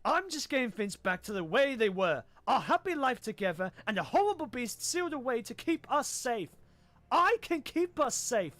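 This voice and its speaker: A suave and menacing sorcerer villain, silky and theatrical. The speech is slow and deliberate, with huge swings of pitch, as in a cartoon drama. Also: female voice, a bit bright. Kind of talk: animated fan drama voice acting. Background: music.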